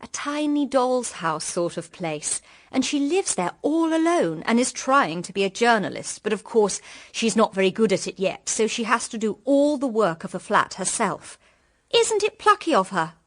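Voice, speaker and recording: A woman's voice: fairly high pitch, big pitch swings, normal speed, fairly bright tone. An actress playing a naive, cheerful, sheltered young woman in a period radio drama, with a girlish, upper-class English manner. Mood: gushing. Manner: gushing, girlish.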